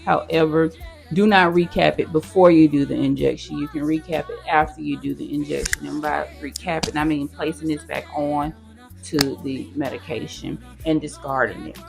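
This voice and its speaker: Gravelly, hoarse woman vlogging. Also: sits low, steady tone, normal speed, over music. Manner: chatty, addresses viewers directly as y'all. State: hoarse, losing her voice. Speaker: nurse sharing her own experience. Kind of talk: casual how-to vlog.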